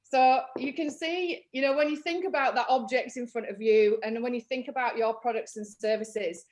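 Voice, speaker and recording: A woman's voice: fairly high pitch, fairly even intonation, moderate pace, a little mellow, poor audio. Chatty Leeds woman giving a workshop. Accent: Yorkshire (Leeds). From Leeds, England. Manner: chatty, practical, frequent ums and you-knows. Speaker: marketing trainer. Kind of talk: webinar workshop talk.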